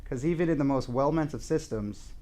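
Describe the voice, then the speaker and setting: A man's voice: medium pitch, brisk, mellow, lightly acted. A man performing a dramatic monologue as an earnest, philosophical sports devotee, reflective and rhetorical.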